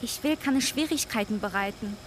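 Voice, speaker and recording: A guarded, soft-spoken woman played by an actress in a naturalistic radio drama. Her voice sits fairly high and she speaks formally and evasively, over faint background noise. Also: moderate pace, lightly acted, low-quality recording. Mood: anxious, subdued. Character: guarded, soft-spoken Tibetan woman promoting healing water.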